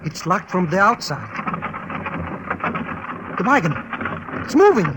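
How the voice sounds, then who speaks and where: High, swooping male radio sidekick. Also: unhurried, noisy background. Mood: alarmed. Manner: slangy, theatrical, animated. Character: excitable, wisecracking male sidekick of an adventurer. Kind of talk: 1940s radio drama acting. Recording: old radio recording, music underneath.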